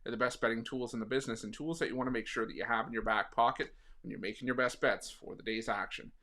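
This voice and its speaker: A hoarse, slightly raspy man talking casually through a sports betting pick, with plenty of fillers. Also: medium pitch, steady tone, quick pace, bright, forceful tone. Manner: conversational, frequent fillers.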